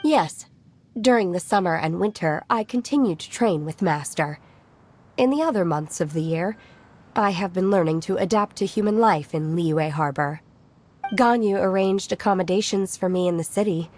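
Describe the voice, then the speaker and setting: A woman voicing a serene, literal-minded young mountain disciple in an anime-style video game, formal, measured and earnest. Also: medium pitch, lively intonation, a little mellow, lightly acted. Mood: composed. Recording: music faintly behind the voice.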